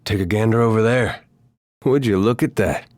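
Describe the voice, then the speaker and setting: A man's voice: lowish voice, moderate pace, a little mellow, no echo, clean studio sound, natural voice. A man voicing an easygoing, wry farmer turned adventurer for a video game, with a folksy, drily humorous way of talking. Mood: wry, watchful.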